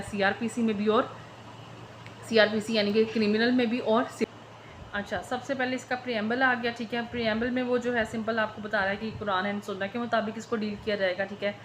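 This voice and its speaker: Female law tutor, Pakistani accent, slightly high pitch, informal explanatory lecture with English terms mixed in, some background noise. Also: little pitch movement, brisk, rough recording. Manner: code-switches between Urdu and English legal terms. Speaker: law teacher.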